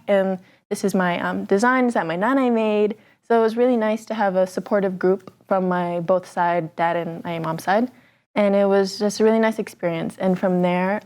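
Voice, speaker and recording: A woman's voice: mid-range voice, darkish tone. A nineteen-year-old woman from Canada, a pageant titleholder, chatting through interview answers with frequent ums and restarts.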